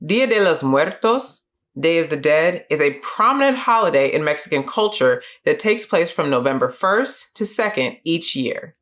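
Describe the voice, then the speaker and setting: A middle-aged woman hosting a book series, giving scripted educational narration in a clear, measured, explanatory way. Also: low voice, animated, fairly bright tone. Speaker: host of a children's book review series.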